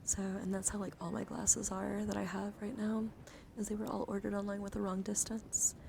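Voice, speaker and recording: Woman, mid-pitched, heavy vocal fry, breathy whispering, soothing ASMR livestream chat, noticeably noisy recording. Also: little pitch movement, normal speed. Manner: gentle, soothing, intimate. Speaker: live streamer hosting ASMR. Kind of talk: ASMR, casual chat with viewers.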